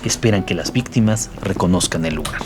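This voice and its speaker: Fairly low male narrator over music. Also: brisk. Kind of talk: scripted documentary drama narration.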